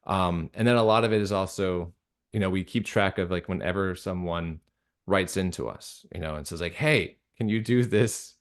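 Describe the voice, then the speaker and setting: Deep-voiced American man chatting in an interview. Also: fairly even intonation, normal speed, clear, resonant tone. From United States. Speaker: interview guest, radio drama creator. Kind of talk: casual interview conversation.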